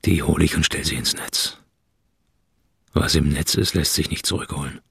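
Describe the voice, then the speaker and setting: An actor playing a calm, principled journalist in a radio drama, speaking in a steady, persuasive way. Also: male voice, very deep voice, moderate pace, gravelly, heavily acted. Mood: firm. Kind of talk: radio play acting. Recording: music underneath.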